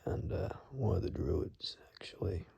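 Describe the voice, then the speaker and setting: A man playing a weary, rugged monster hunter in an ASMR roleplay, grave and halting, in a gravelly, breathy near-whisper. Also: low-pitched, animated, warm tone, heavily acted. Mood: reflective, guarded.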